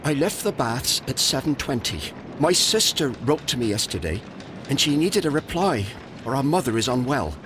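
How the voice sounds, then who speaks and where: A man playing an anxious, deferential English bathhouse attendant in a Victorian video game, speaking with nervous, halting politeness. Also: mid-range voice, sing-song, background noise, close to an everyday voice. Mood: flustered, confessing. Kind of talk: video game cutscene acting.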